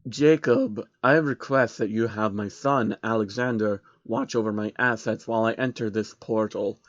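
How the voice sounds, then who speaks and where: A man voicing a scheming, imperious elderly billionaire in a storybook reading, measured and commanding. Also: on the low side, steady tone, no echo, dull audio, pitched below the performer's own voice, natural voice. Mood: sinister, measured.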